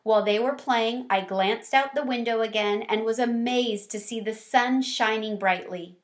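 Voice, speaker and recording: A woman's voice: fairly high pitch, normal speed, natural voice. A woman voicing a small, cheerful, sentimental pet hamster, narrating a storybook with earnest wonder and expression. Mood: hopeful.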